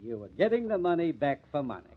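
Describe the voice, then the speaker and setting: An actor playing a sardonic, commanding police detective in a 1950s radio mystery. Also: male voice, a little high, very animated, quick pace. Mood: sardonic, accusing. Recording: muffled, tinny old radio recording.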